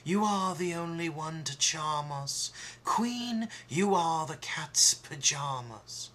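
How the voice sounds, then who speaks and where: A man voicing a flattering magic talking mirror. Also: fairly high pitch, slow, pitched above the performer's own voice, heavily acted.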